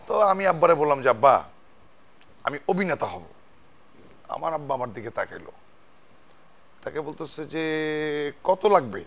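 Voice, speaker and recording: A man with a fairly high voice and a colloquial Bangladeshi accent chats as an actor guest. He tells stories casually and acts out each line of dialogue. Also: a bit bright. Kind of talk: casual anecdote telling.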